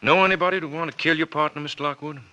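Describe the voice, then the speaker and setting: An actor playing a probing homicide police captain with brisk, clipped, sceptical questioning in 1940s radio style. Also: male voice, a little high, steady tone, sharp tone. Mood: probing, suspicious.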